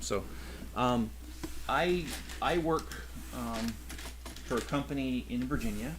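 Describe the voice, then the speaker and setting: A man's voice: medium pitch, background noise. A middle-aged man hosting a toy building stream, chatting casually with viewers, rambling and correcting himself as he goes. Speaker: toy channel host. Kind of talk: casual live-stream chat while building. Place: home, rural.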